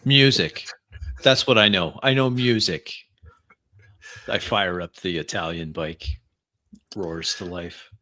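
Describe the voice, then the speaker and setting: A man's voice: low-pitched, slow pace, long pauses, pushed and bright, no room sound. A man playing a tabletop roleplaying game, speaking in character and narrating his own actions.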